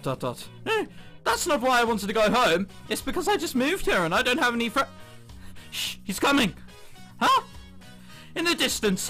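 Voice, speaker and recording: A man doing let's play commentary, reading visual novel text aloud in an excitable, giggly way with exaggerated reactions. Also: sits high. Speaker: video game commentator. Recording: background music under the voice. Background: game music.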